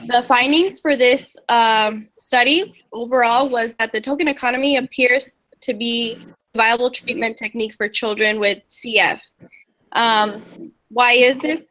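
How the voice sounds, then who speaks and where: A woman's voice: on the high side, fairly even intonation, measured pace, a bit bright, rough recording. A young woman from Indiana giving a class presentation, summarizing research articles hesitantly from her notes. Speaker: student presenter.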